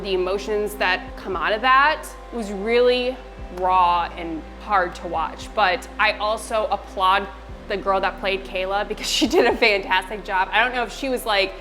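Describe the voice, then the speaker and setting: Middle-aged woman, sincere movie reaction co-host, thoughtful, mid pitch. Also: bright, forceful tone, rough recording. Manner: earnest and reflective, with many fillers. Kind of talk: casual reaction chat.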